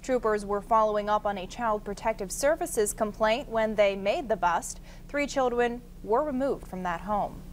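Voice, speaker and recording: A woman's voice: medium pitch, moderate pace, hardly any pauses, slight background noise. An American woman reading scripted news as a television anchor.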